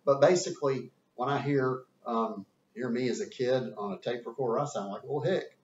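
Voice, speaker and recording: A man's voice: medium pitch, brisk. A man in his fifties with a Southern US accent, giving a folksy classroom lecture as a teacher in a room with a whiteboard. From American South. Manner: animated, folksy, gesturing with hands. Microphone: camera at a distance. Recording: roomy, distant, dull webcam sound.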